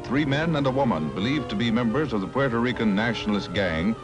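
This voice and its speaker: A mid-pitched American man narrates a 1950s newsreel with clear music behind him. His delivery is grave and emphatic, on a vintage soundtrack. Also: lively intonation, fast talker.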